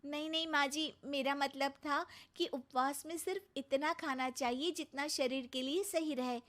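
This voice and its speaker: A woman's voice: sits high, steady tone, moderate pace. A young woman voicing a polite, dutiful daughter-in-law in a cartoon, speaking earnestly. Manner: earnest, gently pleading.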